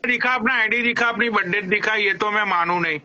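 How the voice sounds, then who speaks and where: A high, very bright and tense male voice, ribbing his guest with crude jokes and put-on respect. He swears freely. The sound is dull and lo-fi, like a live stream. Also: fairly quick.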